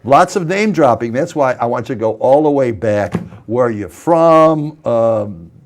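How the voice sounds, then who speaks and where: An older man hosting a TV interview in a small studio on a lapel mic, chatty and halting, with many restarts. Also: medium pitch, lively intonation. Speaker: talk show host.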